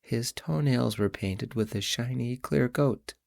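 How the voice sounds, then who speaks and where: A man reading his own novel aloud in a measured, reflective first-person storytelling voice. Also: mid-range voice, gravelly, a little mellow. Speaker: audiobook narrator and author. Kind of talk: first-person audiobook narration.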